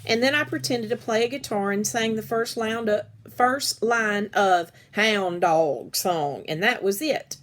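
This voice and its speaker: A woman reads a children's book aloud at a medium pitch. Her delivery is expressive, in the style of storytime narration. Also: a little slow, hardly any pauses. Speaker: read-aloud reader.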